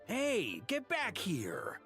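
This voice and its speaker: An actor playing a middle-aged knight Grand Master in a video game, commanding yet casual and exasperated. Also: male voice, high voice, huge pitch swings, drawn-out words, vocal fry, a bit bright, faint music behind, full character voice.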